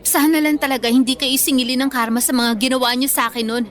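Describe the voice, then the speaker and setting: Casting: actress as defiant wronged schoolgirl, Filipino accent, high voice, mocking and confrontational, radio melodrama. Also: steady tone, quick pace, lightly acted. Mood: scornful, righteous. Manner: taunting, confrontational, emphatic. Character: wronged young female student, vengeful, scornful and defiant. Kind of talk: Filipino radio drama acting.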